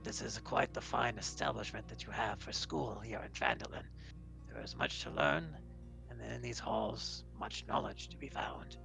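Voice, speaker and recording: A man voicing a courteous, scholarly metal construct who speaks in formal, measured phrases for a tabletop game. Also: lowish voice, sing-song, gravelly, music in the background, heavily acted, poor audio. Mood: courteous, solemn.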